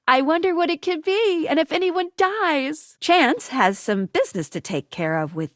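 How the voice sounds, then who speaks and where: A woman playing a perky, playful, helpful female guide character in a video game, delivering animated, punning scripted lines. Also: sits high, moderate pace, no room sound, studio quality. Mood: playful, informative. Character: perky, quippy, helpful female guide to dateable household objects.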